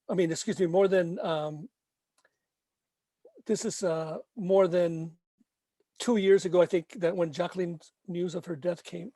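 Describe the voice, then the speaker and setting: A man reading his own protest poem in a slow, emphatic, chanting way, after brief spoken remarks with some hesitation. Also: sits high, mellow, no room sound. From Mexico. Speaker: poet. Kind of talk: poetry reading.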